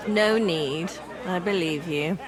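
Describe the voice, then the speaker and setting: An actress playing a young medieval serving maid in a video game, coy and teasing. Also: female voice, lowish voice, takes it slowly, crowd noise. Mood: coy, guarded. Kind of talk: video game cutscene acting.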